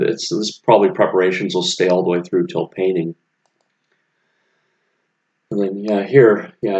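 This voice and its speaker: Man, deep monotone, relaxed rambling voice-over, slightly dull audio. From United States. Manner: relaxed, rambling, many fillers and restarts. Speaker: vlogger showing his apartment renovation. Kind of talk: casual narration over photos.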